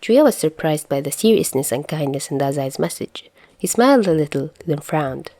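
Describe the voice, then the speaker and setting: A woman's voice: sits low, animated, moderate pace, dark tone, no echo. A woman narrating written fiction in a scripted audiobook style. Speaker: narrator reading fan fiction.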